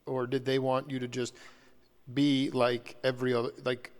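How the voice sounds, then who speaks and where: A man hosting an interview, asking questions in a halting way and circling back to rephrase them. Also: medium pitch, level tone, smooth. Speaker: interviewer, show host.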